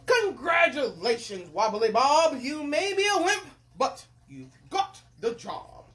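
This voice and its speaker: Woman acting a loud, slow, demanding hungry king. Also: high voice, sing-song, voice pushed up, heavily acted. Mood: satisfied. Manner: commanding, drawn-out delivery. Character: hungry, demanding storybook king, bossy and food-loving.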